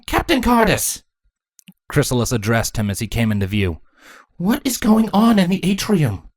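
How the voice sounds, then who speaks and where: A woman's voice: low voice, big pitch swings, moderate pace, darkish tone, pitched above the performer's own voice. A woman voicing a commanding changeling queen in a fantasy story, stern, grave and authoritative.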